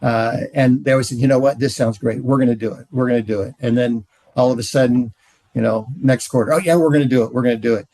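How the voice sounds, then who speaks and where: A middle-aged man giving a relaxed training talk, telling stories with frequent "uh"s. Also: medium pitch, fast talker, dry recording. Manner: relaxed storytelling, many "uh"s. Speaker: ministry trainer.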